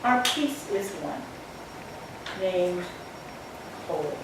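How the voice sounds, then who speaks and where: A woman minister reading a poem expressively to a congregation, with deliberate, dramatic phrasing and pauses. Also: fairly low pitch, animated. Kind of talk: expressive poetry reading within a church talk. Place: large indoor church sanctuary. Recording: very echoey hall, distant mic, faint noise.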